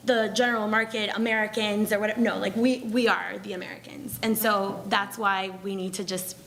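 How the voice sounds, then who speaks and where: Creaky-voiced chatty woman on a panel. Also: mid-range voice, little pitch movement, fairly quick, a bit bright. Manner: chatty, many fillers and restarts. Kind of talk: panel discussion.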